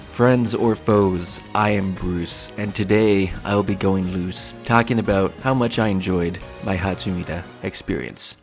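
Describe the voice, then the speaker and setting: A man with a lowish voice narrates a scripted review as its host. His delivery is wry and self-deprecating, dropping into crude jokes. Faint music plays beneath him. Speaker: host of a visual novel review show. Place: voice-over with music behind.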